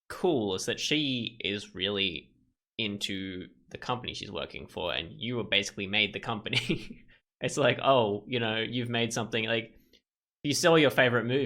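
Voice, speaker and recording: A man's voice: lowish voice, sharp tone. A man giving casual let's play commentary, thinking aloud in a rambling way.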